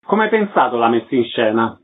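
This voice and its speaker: A man's voice: mid-range voice, very animated, brisk. A man hosting a radio interview, speaking in a concise, presentational way. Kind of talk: radio interview, announcing show details. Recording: narrow, phone-like radio sound.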